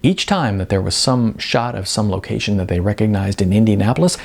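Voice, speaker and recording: A man reading a novel aloud with an expressive storytelling delivery. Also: on the low side, a little fast, creaky. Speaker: audiobook narrator. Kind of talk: scripted narration of a novel.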